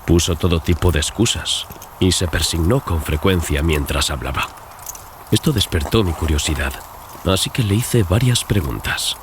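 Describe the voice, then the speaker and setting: A man's voice: low voice, normal speed, noisy background. A man narrating a dramatized gothic horror audiobook as a scripted reading. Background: loud music and sound effects.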